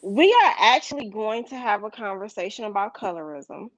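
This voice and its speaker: A woman hosting a casual discussion show, talking in a hurried way and addressing her listeners directly. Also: medium pitch, expressive, no room sound, poor audio. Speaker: podcast host.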